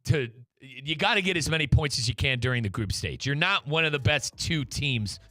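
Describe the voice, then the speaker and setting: Bright-voiced American man hosting sports talk. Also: a little high, lively intonation, moderate pace, no room sound, studio quality. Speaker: sports show host. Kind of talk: conversational sports talk with live sponsor reads.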